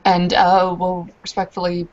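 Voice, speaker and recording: A woman's voice: fairly low pitch, completely flat delivery, measured pace, a little mellow, dull audio. A woman narrating a roleplaying scene casually, with a hesitant, informal way of talking.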